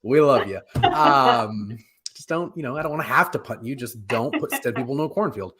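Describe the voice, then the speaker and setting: Jokey, animated man in casual chat. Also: medium pitch, a crowd in the background. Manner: jokey, animated, halting with self-interruptions. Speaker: co-host of a pop culture live show. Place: home room, indoors, video call.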